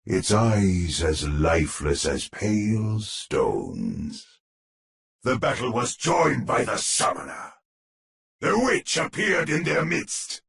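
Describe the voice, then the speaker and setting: Deep, gravelly, dramatic gothic storyteller. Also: male voice, fairly bright tone, full character voice. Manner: dramatic, ominous, pompous. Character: grandiose male storyteller of a spooky gothic tale. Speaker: narrator. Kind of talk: theatrical gothic horror storytelling.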